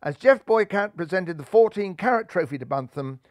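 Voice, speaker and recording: High-voiced man telling a story theatrically. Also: animated, normal speed, no room sound, clean studio sound. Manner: theatrical, suspenseful. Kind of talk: dramatic scripted storybook narration.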